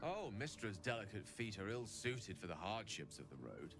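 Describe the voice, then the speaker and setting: A man's voice: fairly low pitch, sing-song, normal speed, music in the background. An actor playing an impatient, earnest younger man in a fantasy game, speaking with exasperated drama. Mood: bitter, incredulous. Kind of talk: fantasy game dialogue acting.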